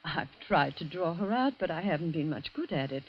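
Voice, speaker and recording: An actress playing a troubled middle-aged guardian's wife in a 1940s radio drama. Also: female voice, low-pitched, expressive, fairly quick, slightly rough, exaggerated voice. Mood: subdued concern. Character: guardian's wife, soft-spoken, troubled and soothing. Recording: dull vintage radio recording.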